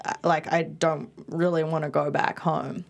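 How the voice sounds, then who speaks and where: A woman's voice: sits low, level tone, moderate pace, vocal fry. A young woman from Bali, whose first language was Indonesian, speaking as an interview guest in a chatty, casual way with many fillers and restarts. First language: Indonesian and Balinese.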